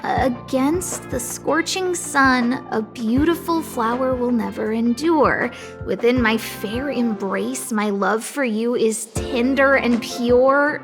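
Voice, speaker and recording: Slow, high-voiced young investigator. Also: female voice, over music. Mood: reciting. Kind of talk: video game voice acting.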